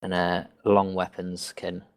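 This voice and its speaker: Man, very low voice, laid-back player, long tactical explanations. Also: monotone, a little slow, clean tone. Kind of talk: tabletop game chat.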